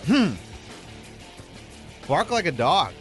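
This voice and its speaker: A middle-aged man co-hosting a radio show, telling stories in an animated way and laughing often. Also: a little high, extremely slow, soft background music. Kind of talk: casual studio banter.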